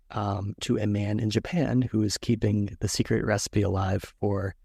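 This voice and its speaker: A man in his thirties with a fairly low voice recounts his travels as an author guest. He talks easily and loosely, pausing on ums. The sound is dry with no room echo. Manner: relaxed, storytelling with ums. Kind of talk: interview answers.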